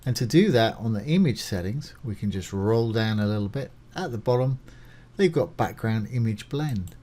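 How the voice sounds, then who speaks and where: A man's voice: on the low side, lively intonation, moderate pace, dark tone. A man presenting a casual step-by-step screencast tutorial, informal and reassuring as he explains each step while doing it. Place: voice-over of a screen recording.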